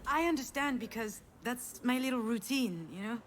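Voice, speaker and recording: An actress playing a self-deprecating, conciliatory sister in earnest, conversational game dialogue. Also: female voice, on the high side, normal speed, a little hiss or hum, close to an everyday voice. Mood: resigned.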